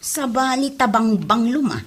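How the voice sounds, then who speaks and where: A woman's voice: on the high side, normal speed, natural voice. A woman with an Ilocano accent playing a jealous, angry wife who scolds in a comedy audio drama. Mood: scolding, suspicious. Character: jealous, furious wife scolding husband and neighbours.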